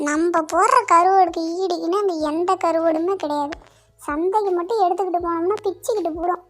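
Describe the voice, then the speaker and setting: A performer voicing a hard-up, grumbling village mother in an animated story, in colloquial speech. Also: extremely high pitch, brisk. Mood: chatty. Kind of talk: cartoon dialogue in colloquial rural speech.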